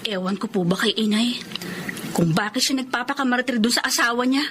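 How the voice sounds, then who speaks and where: Woman acting a high-voiced, earnest young Filipino daughter. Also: brisk, a bit bright, slight background noise, close to an everyday voice. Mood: worried, respectful. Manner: polite, earnest. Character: dutiful young daughter, worried, respectful, earnest. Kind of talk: Filipino radio drama acting.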